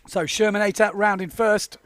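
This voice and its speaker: A man's voice: high-pitched, little pitch movement, normal speed, a bit bright, clear, resonant tone, dry recording. A man giving chatty, upbeat live commentary on a windsurfing race, joking with his co-commentator. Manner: chatty, upbeat, jokey banter.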